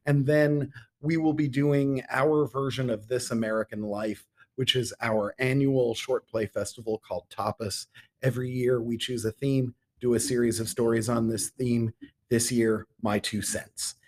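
A man's voice: medium pitch, fairly even intonation, a little slow. A middle-aged man speaking as a theatre director being interviewed on a podcast, articulate and enthusiastic, with ornate turns of phrase.

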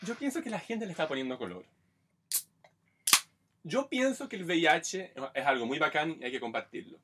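A young Chilean man co-hosting a podcast, telling provocative jokes deadpan and breaking into mock rants. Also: on the high side, moderate pace, noisy background. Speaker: podcast co-host. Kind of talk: casual chat.

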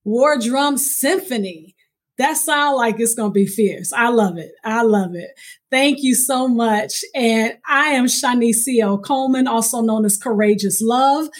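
A woman's voice: fairly high pitch, measured pace. A woman hosting a podcast, interviewing a guest and addressing her listeners. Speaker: podcast host.

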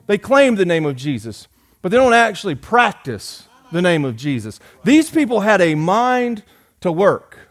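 A man, preaching a sermon in a folksy, joking way, rising loud and dropping soft. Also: high voice, sing-song, normal speed. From Georgia. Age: 40s. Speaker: pastor.

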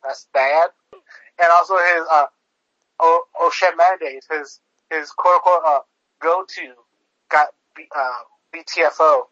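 A man co-hosting a podcast, chatting casually about politics in a halting way, piling up fillers and restarts. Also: on the high side, fairly even intonation, bright, forceful tone, no room sound, muffled recording. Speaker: podcast co-host.

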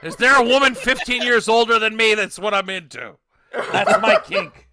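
A man's voice: sits high, normal speed, crowd noise. A man co-hosting a podcast, cracking crude jokes and laughing often in casual movie banter. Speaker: podcast co-host.

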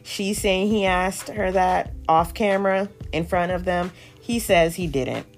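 A woman with a low, gravelly voice recaps a dating show in a sassy, opinionated way. She leans on slang, quotes the cast and throws in quick asides. Soft music plays faintly underneath. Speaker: podcast host recapping a reality show. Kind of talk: casual recap commentary.

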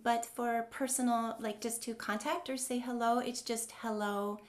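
A woman's voice: on the high side, steady tone, moderate pace. A woman singer-songwriter chatting casually as a guest, hesitant and modest. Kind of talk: casual chat.

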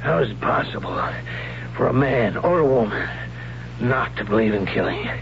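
Gruff older swordmaster; blunt and terse, muffled old broadcast sound. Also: male voice, mid-range voice, expressive, moderate pace, a little hiss or hum. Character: older male warrior hero, blunt sword teacher. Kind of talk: 1970s radio drama acting. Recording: dull old radio broadcast.

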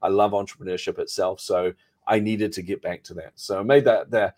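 New Zealand man, low voice. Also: fairly even intonation, fairly quick, a little mellow. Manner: chatty and self-deprecating, laughs often. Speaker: podcast guest, marketing coach. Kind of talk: relaxed interview storytelling.

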